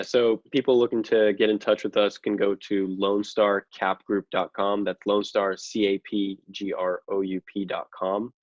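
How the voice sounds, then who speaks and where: A man's voice: lowish voice, dry recording, dull audio. A man answering conversationally as an interview guest, explaining things in an analytical way. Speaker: podcast guest, real estate investor.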